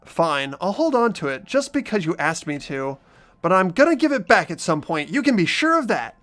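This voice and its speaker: A man's voice: high-pitched, sing-song, normal speed, a bit bright. A man voicing an earnest, anxious teenage schoolboy who narrates his own story in a visual novel game, expressively. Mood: nervous resolve.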